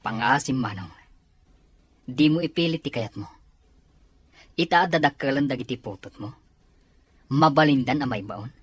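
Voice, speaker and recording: A man playing a kindly, responsible uncle in an Ilocano radio drama, gentle and earnest in manner. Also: medium pitch, lively intonation, moderate pace, pauses often, breathy edge. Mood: firm. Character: kindly, responsible uncle, protective of his nephew.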